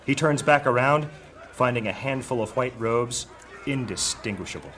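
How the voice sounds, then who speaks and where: A man narrating a high fantasy audio drama with dramatic storytelling delivery. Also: moderate pace, a bit bright, slight background noise, lightly acted. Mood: dramatic, grave. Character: male narrator of dark high fantasy adventure.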